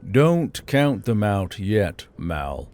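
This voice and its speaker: A man's voice: lowish voice, sing-song, very slow, a little mellow, voice pushed down, full character voice. A man voicing a blunt, weary male official with a stern, growling delivery in an audiobook. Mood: growling, grudging. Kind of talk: audiobook character voice.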